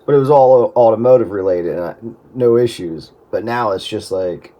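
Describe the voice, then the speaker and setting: A man's voice: fairly low pitch, normal speed, dark tone. A middle-aged man chatting casually over amateur radio in a rambling way, often restarting his sentences.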